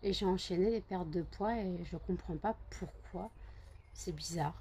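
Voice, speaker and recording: A woman's voice: lowish voice, measured pace, mellow, a little hiss or hum. A woman vlogger chatting casually to camera, rambling with many fillers and repeated words. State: just woken up, groggy.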